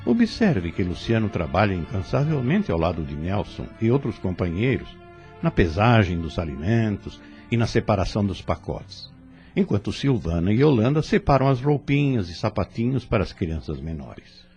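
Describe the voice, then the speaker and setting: Man playing a deep-voiced, kindly Brazilian spirit instructor. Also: sing-song, normal speed, darkish tone, lo-fi sound, faint music behind. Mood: instructive, earnest. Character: calm, kindly male spirit instructor, earnest and devout. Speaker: spirit mentor in an audio drama. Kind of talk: didactic audio drama dialogue.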